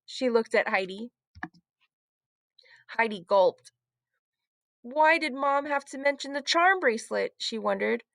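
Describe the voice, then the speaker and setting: A woman's voice: a little high, animated, measured pace, gravelly, pauses often, fairly bright tone, dry recording, clean studio sound. A woman narrating a children's storybook as a read-aloud storyteller.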